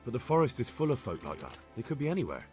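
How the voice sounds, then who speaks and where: A man's voice: mid-range voice, huge pitch swings, fast talker, darkish tone, soft background music. A man playing an earnest young medieval investigator in video game dialogue, direct and full of questions. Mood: earnest, inquiring. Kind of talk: conversational video game acting.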